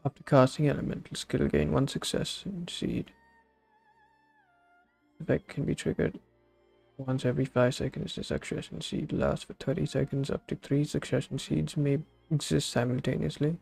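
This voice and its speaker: A man's voice: a little high, steady tone, normal speed, vocal fry, darkish tone, breathy edge, soft background music. A man live streaming a video game, giving casual, blunt and slangy commentary and sometimes reading game text aloud.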